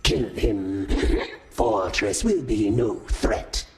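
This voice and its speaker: A man's voice: on the low side, animated, heavily acted. A man voicing a terse alien informant in a video game, speaking in clipped, broken phrases. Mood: grave, terse. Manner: clipped, halting, broken grammar. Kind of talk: video game cutscene dialogue.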